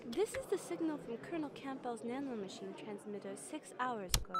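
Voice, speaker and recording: A woman's voice: a little high, animated, a little fast, heavy background noise, natural voice. A woman playing a polite, earnest young military analyst who explains things carefully in a radio drama. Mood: earnest, informative. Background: loud music.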